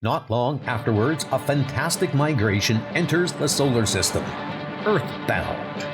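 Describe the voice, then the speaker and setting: Homem narrando quadrinhos de forma dramática, entonação viva. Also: male voice, medium pitch, lively intonation, a little slow, over loud music. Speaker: narrator of a comic dub. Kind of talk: dramatic scripted narration.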